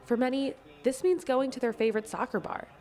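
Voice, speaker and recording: A woman's voice: sits high, brisk, dark tone. A woman reporter reading scripted radio feature narration in a mid-range voice.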